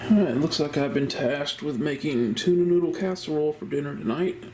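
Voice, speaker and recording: A man vlogging casually at home, rambling in a relaxed way and talking quietly instead of projecting. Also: on the high side, a little fast. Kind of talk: casual home vlog chat. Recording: noticeable background noise.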